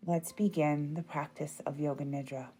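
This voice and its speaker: A woman leading a guided relaxation meditation in a soothing, gentle, unhurried manner. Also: sits low, fairly even intonation, husky, faint music behind. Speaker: yoga nidra meditation guide.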